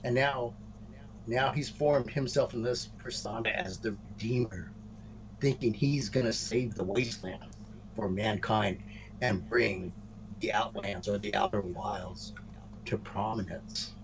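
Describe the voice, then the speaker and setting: A man co-hosting a casual wrestling storyline chat, speaking haltingly and often repeating words as he restarts phrases. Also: lowish voice. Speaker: co-host of a wrestling podcast. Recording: muffled, noisy room recording.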